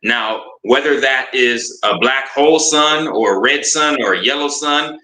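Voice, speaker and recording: A man's voice: medium pitch, a little slow, sharp tone. A man appearing as a guest on a talk show, starting his points with stammered repeats before explaining earnestly. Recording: echoey room.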